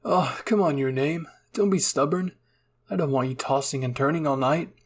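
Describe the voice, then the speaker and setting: A man's voice: a little high, moderate pace, a little hiss or hum, close to an everyday voice. A man voicing a caring, earnest young boy in a fan-fiction story reading, coaxing and comforting a friend. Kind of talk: acted dialogue in a fan-fiction audio reading.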